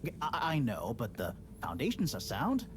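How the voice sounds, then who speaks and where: Touchy stubborn researcher, low voice, huge swings up and down, loud music behind. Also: female voice, wildly swooping pitch, normal speed, slight background noise, exaggerated voice. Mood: defensive. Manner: defensive and argumentative. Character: defensive, stubborn researcher defending a failed model.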